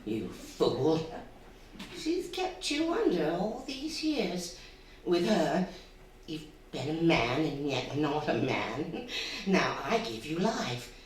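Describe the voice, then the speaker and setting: A woman's voice: low-pitched, sing-song, exaggerated voice. Echoey far off evil undead ghost woman, radio play, swoopy creepy taunting delivery. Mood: taunting, urging. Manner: menacing, coaxing, cackling, drawn-out theatrical delivery. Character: sinister undead old female spirit, taunting and bloodthirsty. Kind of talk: stage radio drama acting. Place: theatre stage before a live audience. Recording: very echoey hall, distant, faint noise.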